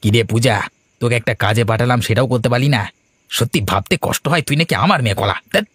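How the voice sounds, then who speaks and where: A man's voice: medium pitch, expressive, quick pace, a bit bright, slightly rough, no room sound, clean studio sound. A man voicing a selfish, scheming village father in a cartoon, berating and sneering. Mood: scolding. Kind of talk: animated cartoon voice acting. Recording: faint music behind the voice.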